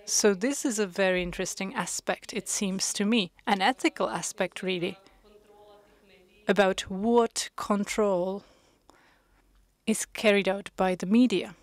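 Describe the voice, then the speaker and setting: A woman interpreting a panel discussion into English, measured and pausing with frequent hesitations. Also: medium pitch. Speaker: conference interpreter.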